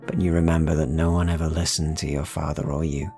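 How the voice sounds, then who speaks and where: Very deep, gravelly male game master. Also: flat delivery, dark tone, soft background music. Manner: deliberate, ominous, pausing. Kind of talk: slow dramatic second-person dark fantasy storytelling.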